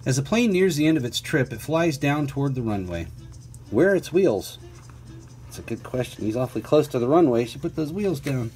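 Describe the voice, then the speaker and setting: A man's voice: mid-range voice, expressive, fairly quick, darkish tone, soft background music, poor audio. Man reading a picture book to children. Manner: prompts listeners with questions and asides. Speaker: teacher reading aloud to children. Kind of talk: children's picture book read-aloud with conversational asides.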